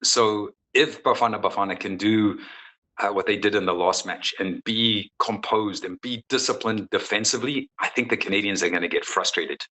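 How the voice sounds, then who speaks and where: A man speaks as a coach being interviewed. His voice sits a little low but has a bright, forceful edge. He talks casually and emphatically, often doubling back on his phrases. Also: moderate pace, rough recording. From South Africa. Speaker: football coach, interview guest. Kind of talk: casual sports interview chat.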